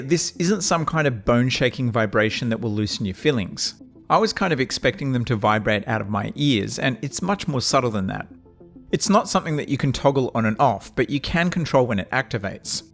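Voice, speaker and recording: Nasal man reviewing gear. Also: mid-range voice. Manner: measured, wry and matter-of-fact. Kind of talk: scripted product review narration.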